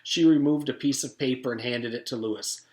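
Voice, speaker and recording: A man's voice: medium pitch, brisk, darkish tone. A man, a teacher, reading a children's story aloud as scripted narration. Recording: echoey room.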